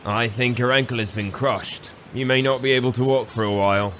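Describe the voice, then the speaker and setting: A man's voice: medium pitch, steady tone, a little fast, a bit bright, a little hiss or hum, natural voice. An actor playing a sensible, dry-witted crossbowman in a fantasy audio drama. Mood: measured.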